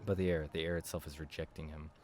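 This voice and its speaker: A man's voice: extremely low pitch, little pitch movement, quick pace, background noise. A man reading a visual novel aloud as narration, as a game streamer does. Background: background music.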